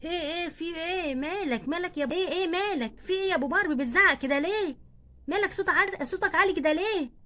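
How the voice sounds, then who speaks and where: A woman's voice: extremely high pitch, fast talker, sharp tone. A woman voicing a puzzled, just-roused mother in doll play, questioning repetitively with an Egyptian accent. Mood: bewildered.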